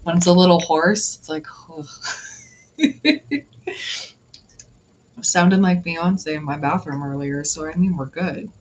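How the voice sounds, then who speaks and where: A woman's voice: on the low side, slow, long pauses, highs cut off, a little hiss or hum. A woman hosting a tarot livestream in casual, rambling chat with viewers, laughing often. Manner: chatty and giggly, laughs often; rambling, with restarts and filler words. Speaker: tarot reader and livestream host.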